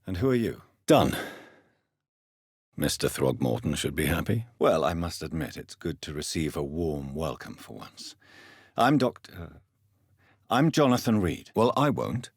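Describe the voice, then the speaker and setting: A courteous, grave English doctor and war veteran turned vampire in a video game. His voice is very deep and husky, recorded close and dry. He speaks in formal, precise phrases with a widely moving, dramatic pitch. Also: big pitch swings, no echo, exaggerated voice. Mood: courteous, probing. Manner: formal, measured, precise diction.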